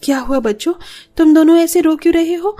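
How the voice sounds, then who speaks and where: A woman voicing a poor, worried, gentle mother in a cartoon story, earnest and plaintive. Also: extremely high pitch, brisk. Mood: concerned.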